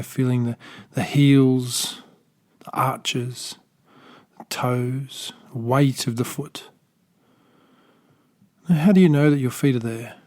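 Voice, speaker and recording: Man, Australian accent, meditation guide, soft and slow, unscripted, mid pitch. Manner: slow, soft-spoken, gentle guidance with pauses; unscripted, with small restarts. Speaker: meditation teacher. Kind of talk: guided body scan meditation.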